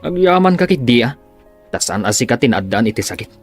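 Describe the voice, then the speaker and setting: Bitter, snappish young patient. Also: male voice, medium pitch, big pitch swings, fairly quick, breathy edge, soft background music. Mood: snappish, sarcastic. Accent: Ilocano. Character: snappish, bitter young man sick with leukemia. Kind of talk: Ilocano radio drama acting.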